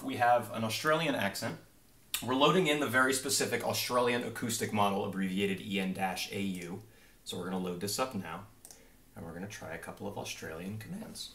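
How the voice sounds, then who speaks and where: Young male developer, low-ish voice, relaxed demo talk, filler-heavy, recorded on a desk camera mic in a small home office. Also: a little fast. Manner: easygoing, explanatory, frequent "uh" fillers. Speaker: game developer. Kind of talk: casual demo walkthrough.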